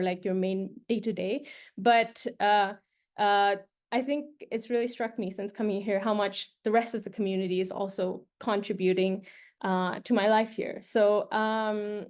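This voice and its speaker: A woman's voice: mid-range voice, a little mellow. Young woman scientist giving casual talk. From Nepal. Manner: casual, many fillers and restarts. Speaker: postdoctoral researcher. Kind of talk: informal presentation to prospective postdocs.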